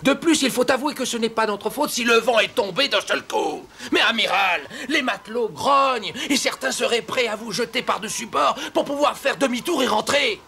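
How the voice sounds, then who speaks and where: An actor voicing an anxious, excitable ship's officer in an animated historical series, fretful in his delivery. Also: extremely high pitch, moderate pace, gravelly, hardly any pauses, a little hiss or hum, heavily acted. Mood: worried.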